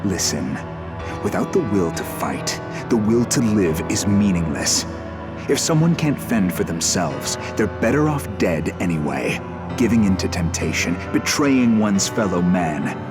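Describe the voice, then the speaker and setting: A man's voice: sits low, big pitch swings, a little slow. An actor playing a ruthless, contemptuous man who speaks curtly and coldly. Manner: cold, contemptuous, curt. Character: cold, ruthless young man, demon-fighter. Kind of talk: game cutscene acting. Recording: reverberant mix with loud game music.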